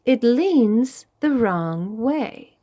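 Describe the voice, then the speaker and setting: A woman voicing a grateful, helpful python in a storybook, with slow, drawn-out speech. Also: fairly high pitch, lively intonation, warm tone, highs cut off, heavily acted. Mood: doubtful. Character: grateful male python, slow-talking helper snake.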